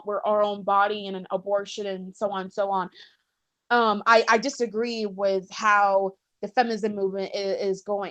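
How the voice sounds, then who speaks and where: Woman, mid-range pitch, casual opinionated talk, halting, repeated restarts. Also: fairly bright tone. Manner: halting, repeats words and restarts sentences often.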